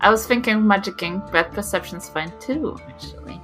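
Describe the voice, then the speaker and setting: Bright-voiced New Zealand woman, hesitant player. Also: mid-range voice, moderate pace, soft background music. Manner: hesitant, filler-heavy, jokey. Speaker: tabletop game player.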